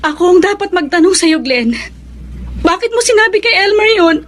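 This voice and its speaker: A wounded, devoted wife in a radio drama, in an extremely high voice. Her delivery is emphatic and pleading, thick with emotion. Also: lively intonation, moderate pace, a bit bright. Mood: hurt, reproachful. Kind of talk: Tagalog radio drama acting.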